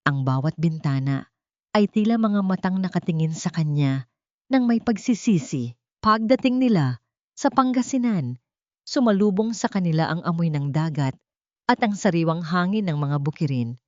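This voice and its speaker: Low-voiced woman narrating, dull dry recording. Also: animated, moderate pace, dry recording. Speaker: audiobook narrator. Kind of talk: dramatic scripted story narration.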